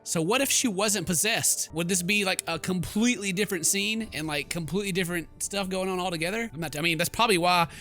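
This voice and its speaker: Bright, forceful male gaming commentator. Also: high voice, fast, hardly any pauses, faint music behind. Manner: animated, theatrical, jokey. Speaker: gaming video host. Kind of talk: game playthrough commentary mixed with dramatic read-aloud narration.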